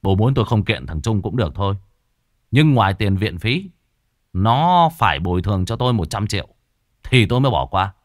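A man's voice: on the low side, very animated, fairly quick, no echo, clean studio sound. A man voicing a scheming adult son bluntly demanding money.

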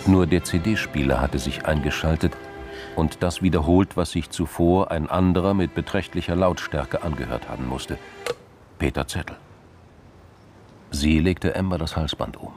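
A man with an extremely deep voice narrates a radio crime drama. His storytelling is measured and literary, with music playing underneath.

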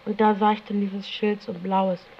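A woman's voice: mid-range voice, level tone, moderate pace, gravelly. Teenage girl on dull, noisy tape. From Germany. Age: teens. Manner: colloquial, slangy, frequent tag questions. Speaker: interviewee recounting own experience. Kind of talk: casual spoken recollection.

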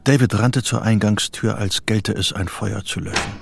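Literary narrator, fairly low, creaky voice, wry, faintly contemptuous, radio drama. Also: male voice, steady tone, moderate pace, background noise. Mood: observing, tense.

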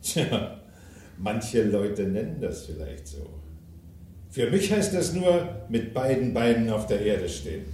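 A man's voice: fairly low pitch, big pitch swings, slow pace, mellow, recorded from a distance, a little hiss or hum. An actor in a German crime radio drama playing a cynical, ambitious self-made businessman, blunt and coarse, with theatrical emphasis. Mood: cynical, boastful. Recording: echoey room, off the microphone.

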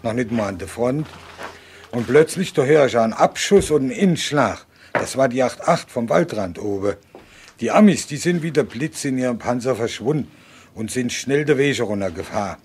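Radio drama actor as older male war witness, folksy dialect, medium pitch, faint noise. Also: expressive, normal speed, darkish tone, lightly acted. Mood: earnest, reminiscing. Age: over fifty. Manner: plain, folksy regional dialect. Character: ageing former deserter recounting his war memories. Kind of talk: first-person recollection in radio drama.

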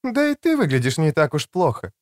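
Man putting on a high-pitched, tender mature woman. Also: wildly swooping pitch, fairly quick, no room sound, clean studio sound. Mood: gently teasing. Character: elegant mature woman doctor, tender, frank and gently teasing. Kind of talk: audiobook character dialogue.

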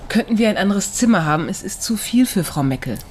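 An actress playing a cool, quick-witted and protective friend, speaking dryly in a radio crime drama. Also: female voice, medium pitch, expressive, slight background noise, close to an everyday voice. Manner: dry, sharp-tongued, unruffled. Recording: studio radio play, music behind.

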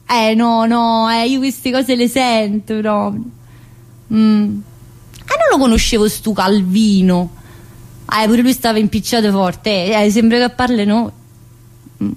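A woman playing a chatty, warm-hearted, middle-aged female prison inmate in a theatrical monologue, meandering and full of little "eh"s. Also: on the high side. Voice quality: chatty and meandering, studded with "eh" and direct address. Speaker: stage actor in a solo play.

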